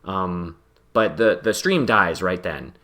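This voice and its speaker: A man's voice: deep voice, expressive, slow, pushed and bright. A man hosting a podcast, chatting casually while playing a game, rambling and self-deprecating and laughing often. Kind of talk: casual chat while gaming.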